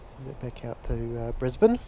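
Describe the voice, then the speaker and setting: A man's voice: medium pitch, very animated, normal speed, dark tone. A man with a New Zealand accent chatting casually and enthusiastically as a live plane-spotting host. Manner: enthusiastic, chuckly, reads out viewer messages. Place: outdoors near a runway and seafront. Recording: clear outdoor vehicle and ambient noise.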